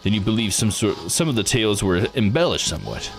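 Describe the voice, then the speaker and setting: A man voicing a genteel, thoughtful character from a visual novel, speaking in a measured and formal way. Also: on the low side, big pitch swings, faint music behind, pitched below the performer's own voice. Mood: curious, measured.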